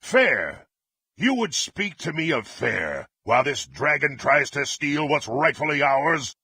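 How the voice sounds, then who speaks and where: An actor playing a brutal, bloodthirsty fantasy warrior in a video game, haughty and threatening, with a gruff, raspy voice and formal archaic diction. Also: male voice, fairly high pitch, sing-song, slow pace, sharp tone, full character voice. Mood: indignant threatening. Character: brutal, menacing, bloodthirsty male warrior, contemptuous and impatient. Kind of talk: theatrical fantasy game voice acting.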